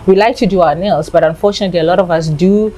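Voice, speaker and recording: A woman in her thirties, raised in South Africa, answering interview questions with candid, animated storytelling. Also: fairly low pitch, fast. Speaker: nail salon owner.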